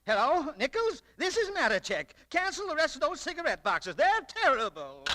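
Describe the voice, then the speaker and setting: An actor playing an excitable, stubborn older shop owner, blustering and stammering in 1940s radio style. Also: male voice, very high voice, moderate pace, exaggerated voice. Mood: irritated. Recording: noisy old 1940s radio recording.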